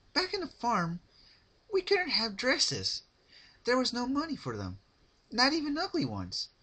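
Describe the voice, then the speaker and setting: Casting: male reader as chirpy, sadistic female party pony, high-pitched, rambling storybook villain with a husky edge. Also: sharp tone, full character voice. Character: manic cheerful female cartoon party pony, sadistic and chatty.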